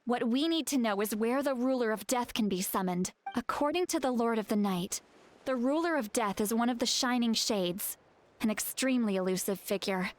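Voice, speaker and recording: A woman acts a serious, analytical young guide in a video game. The voice is fairly high, with an earnest, explanatory manner and a composed temper. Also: moderate pace, lightly acted.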